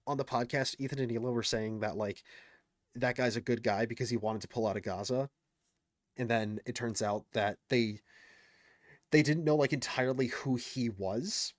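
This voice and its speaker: A man's voice: medium pitch, moderate pace, no room sound, clean studio sound. A man commenting on clips in a casual, indignant way, thinking aloud with lots of fillers and restarts. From United States.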